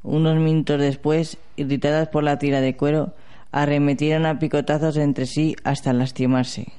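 A man's voice: fairly high pitch, little pitch movement, normal speed, dark tone, clear, resonant tone. A man narrating a legend in an amateur radio play, reading aloud steadily. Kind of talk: read storybook narration.

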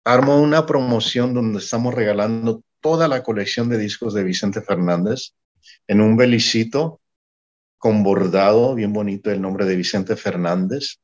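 A man's voice: lowish voice, moderate pace, highs cut off. A man from Mexico, a music promoter, telling stories from his career in an interview and acting out the conversations. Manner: animated anecdote-telling, acting out dialogue. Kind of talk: storytelling in an interview.

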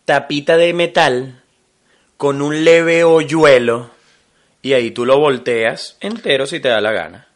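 Hombre venezolano, charla informal. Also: male voice, medium pitch, slow pace. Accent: Venezuelan Spanish. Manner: joking, animated, full of slang. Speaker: podcast co-host. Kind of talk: casual chat.

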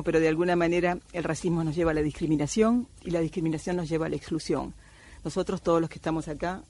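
A woman's voice: sits low, a little mellow. A middle-aged woman from Cuba, an anthropologist, speaking thoughtfully with many hesitations in a radio interview. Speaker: anthropologist guest.

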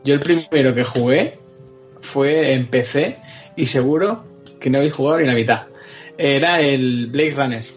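A man's voice: mid-range voice, moderate pace. A man with a Spain accent in casual, colloquial podcast chat about video games. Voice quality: colloquial speech. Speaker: podcast panelist. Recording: dull lo-fi audio, music behind.

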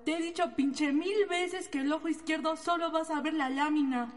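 High-voiced, coarse Mexican inmate played by a woman. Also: steady tone, normal speed, lightly acted. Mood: mocking. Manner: mocking, coarse. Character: jeering, foul-mouthed, bitter prison inmate. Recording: long echoing reverb.

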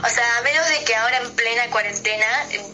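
A woman's voice: fairly high pitch, quick pace, music in the background. A teenage girl with an Argentine accent chatting casually and playfully as a radio co-host. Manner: playful, jokey. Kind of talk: casual chat among friends.

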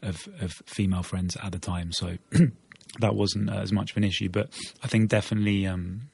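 A man's voice: on the low side, level tone, normal speed, gravelly, darkish tone, husky. A young Englishman from Norwich, a guest on a radio chat, answering thoughtfully with many ums and a few laughs. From Norwich, England. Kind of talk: casual interview answers.

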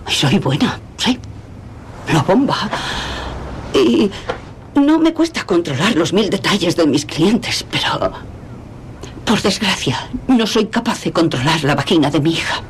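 Frazzled Castilian mother, lively intonation. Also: fairly low pitch, slight background noise. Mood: proud, then exasperated. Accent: Castilian Spanish. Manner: confessional, halting, blunt. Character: frazzled, candid suburban mother in her forties. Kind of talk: dubbed TV dramedy monologue.

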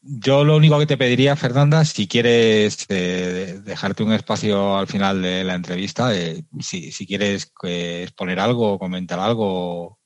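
A man from hosting a wine podcast, chatting casually with frequent pauses and fillers. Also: lowish voice, steady tone, no echo. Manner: friendly, hesitant with many fillers. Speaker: podcast host.

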